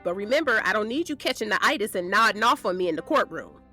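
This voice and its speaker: Lively, sassy woman defense lawyer, acted by a woman. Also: mid-range voice, fast talker, faint music behind. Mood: wry, warm. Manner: probing, frank, colloquial. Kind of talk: audio drama dialogue.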